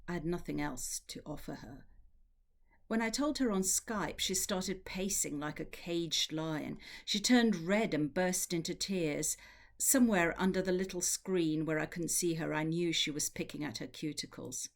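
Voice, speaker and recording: Low-voiced woman reading literary prose. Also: a little slow, gravelly. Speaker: literary translator reading from a novel. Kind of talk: audiobook-style narrated prose reading.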